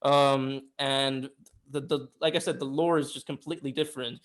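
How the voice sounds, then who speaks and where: A man's voice: mid-range voice, steady tone, normal speed, fairly bright tone. A man co-hosting a film review show in casual discussion, opinionated and informal, given to swearing. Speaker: film review podcast co-host.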